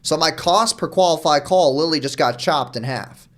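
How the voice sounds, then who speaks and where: Fast-talking casual young man. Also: fairly high pitch, fairly bright tone. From New York. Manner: fast, casual, with frequent "dude" and "like". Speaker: guest, marketer. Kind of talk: casual podcast conversation.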